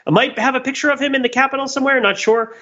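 A man's voice: very high voice, fairly even intonation, very fast, pushed and bright, highs cut off. A man co-hosting a podcast in casual discussion, talking discursively and digressing as he searches for words. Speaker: podcast co-host.

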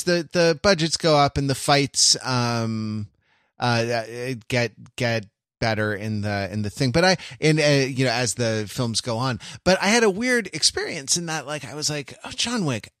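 Male co-host, mid-pitched, thoughtful and hesitant conversational delivery, very dry recording. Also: sing-song, moderate pace, a bit bright, dry recording. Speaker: podcast co-host. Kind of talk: casual film chat.

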